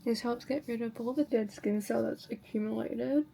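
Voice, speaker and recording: A woman's voice: a little high, moderate pace, vocal fry, mellow. Chatty woman vlogger with background noise. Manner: informal, chatty, self-narrating each step. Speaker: beauty vlogger hosting a routine video. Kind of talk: casual tutorial chat to viewers.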